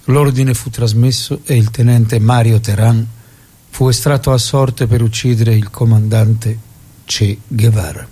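An actor playing a solemn storyteller, giving scripted dramatic narration in a grave, measured way. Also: male voice, mid-range voice, steady tone, warm tone, slightly rough. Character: solemn male storyteller recounting a revolutionary's death.

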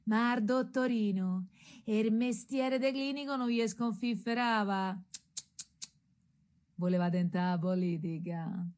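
A woman's voice: mid-range voice, takes it slowly, darkish tone, lightly acted. A woman playing a mocking working-class townswoman narrator in a radio drama, with a Roman accent and colloquial theatrical delivery. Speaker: narrator in a radio stage serial. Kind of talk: theatrical storytelling in colloquial dialect.

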